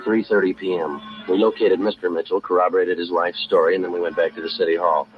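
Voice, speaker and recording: A man playing a terse, businesslike American police detective in a 1950s radio drama, with clipped deadpan delivery. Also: low-pitched, quick pace, lightly acted. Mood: matter-of-fact. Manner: clipped, deadpan, matter-of-fact. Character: terse, businesslike male police detective narrating his case. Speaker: lead actor and narrator. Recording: dull vintage radio recording, music behind.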